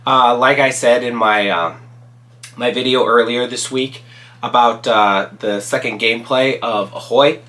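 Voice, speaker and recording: A man with a cold-roughened, raspy voice chats casually as host of his own channel. He rambles, restarts his sentences and fills pauses with ums as he walks through his favorite movies. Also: medium pitch, steady tone, sharp tone. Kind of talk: casual solo commentary.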